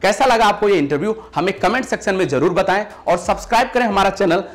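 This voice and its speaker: Animated, high-pitched male channel announcer. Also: fast. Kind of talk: scripted promotional outro narration.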